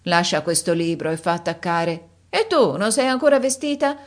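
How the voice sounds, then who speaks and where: A woman voicing a fussy, imperious elderly countess in a read-aloud story, speaking in a shrill, scolding manner. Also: moderate pace, natural voice. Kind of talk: audiobook character voice.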